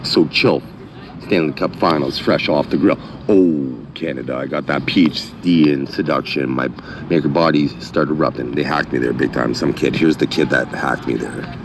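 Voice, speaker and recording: Man, middle-aged, very deep voice, rambling, half-chanted reading, fillers, noisy phone audio. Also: gravelly. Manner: halting, many fillers and restarts. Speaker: channel owner. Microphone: phone mic.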